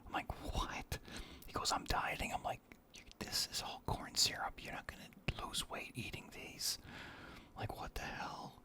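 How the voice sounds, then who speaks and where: A man's voice: measured pace, bright, forceful tone. A middle-aged man whispering casual, rambling stories about his past, swearing now and then. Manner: whispering throughout. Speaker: storyteller.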